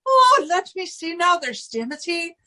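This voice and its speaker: Shrill-high chatty Irish landlady. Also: very animated, a little slow, pushed and bright, heavily acted. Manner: garrulous, folksy. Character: chatty, easily sidetracked Irish landlady, demanding but soft-hearted. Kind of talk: 1940s radio comedy acting.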